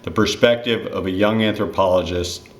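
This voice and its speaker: A man with a low-pitched voice reads aloud from a prepared essay of tribute. The delivery is that of formal written narration. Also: fairly even intonation, normal speed.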